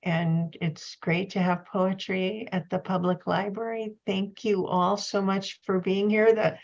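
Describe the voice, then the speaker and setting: Female event host, courteous and unhurried, mid-range pitch, slightly lo-fi call audio. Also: little pitch movement, creaky. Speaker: host of a library poetry event. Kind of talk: informal hosting and thanking guests. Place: at home, on an online video call.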